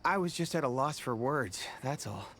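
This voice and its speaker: Guy voicing excitable merc dude in a game, super animated, boasty casual talk, faint background noise. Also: medium pitch, moderate pace, lightly acted. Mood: awestruck. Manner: animated, informal storyteller. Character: excitable, boastful male mercenary scout. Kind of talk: video game voice acting.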